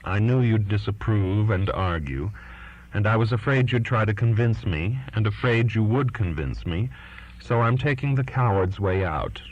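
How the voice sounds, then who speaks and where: A man's voice: fairly low pitch, normal speed, lightly acted. A man reading as an earnest, defiant twenty-year-old daughter writing to her father, pleading and insistent, on a lo-fi recording. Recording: dull lo-fi recording.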